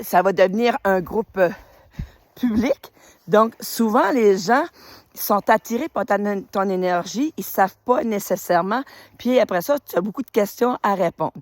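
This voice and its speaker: A woman's voice: medium pitch, no echo. A woman, welcoming followers to her spiritual group in a casual, rambling way, recorded outdoors on a phone. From New Brunswick, Canada. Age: 50s. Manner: warm, enthusiastic, rambling with many fillers. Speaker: retired teacher and spiritual group leader. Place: outdoors on a road in winter.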